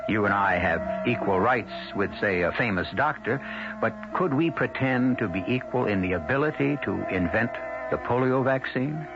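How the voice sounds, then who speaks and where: A man hosting an old-time radio mystery, narrating from a script in a deliberate, theatrical, philosophical way. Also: mid-range voice, expressive, close to an everyday voice. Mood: musing. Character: measured, philosophical storyteller host. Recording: muffled old radio recording. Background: music.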